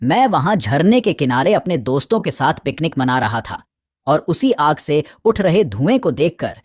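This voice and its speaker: Woman voicing a lively, earnest cartoon deer. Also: sits low, normal speed, dry recording, studio quality. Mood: reassuring. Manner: earnest, advising. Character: sensible, friendly, concerned male deer. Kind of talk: Hindi animated moral story acting.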